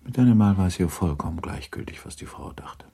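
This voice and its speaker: A man narrating a classic novel for a radio drama as a sober, measured and matter-of-fact storyteller. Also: low-pitched, expressive, gravelly, dark tone, slightly rough, in a whisper, exaggerated voice.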